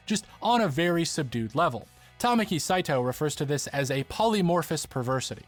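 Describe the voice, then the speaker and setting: A man reading scripted explanatory narration as the narrator of a video essay. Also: on the high side.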